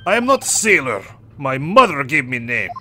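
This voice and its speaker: Man putting on a gruff Russian-accented sailor. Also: medium pitch, sing-song, normal speed, bright, forceful tone. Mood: gruff haughty. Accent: mock Russian. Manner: broken English, blunt and haughty. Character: gruff burly Russian sailor, proud and rude. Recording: noisy background ambience.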